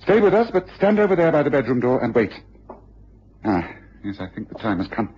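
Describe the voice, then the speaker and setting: Sharp detective in a radio play, really varied pitch, composed and commanding, bit husky, dull old broadcast sound. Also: male voice, big pitch swings. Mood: probing, stern. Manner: deliberate, commanding, precise. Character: keen, composed male English detective, probing and authoritative. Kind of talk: radio drama acting.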